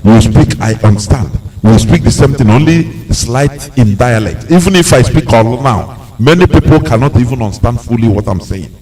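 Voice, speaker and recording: A man's voice: medium pitch, lively intonation, moderate pace, few pauses, a little mellow, far from the mic, rough recording. A Nigerian man hosting a call-in show, pressing his questions and restarting them again and again. His voice sits in a middle range and sounds a little set back from the mic. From Imo State, Nigeria. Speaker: radio host. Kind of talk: call-in radio interview.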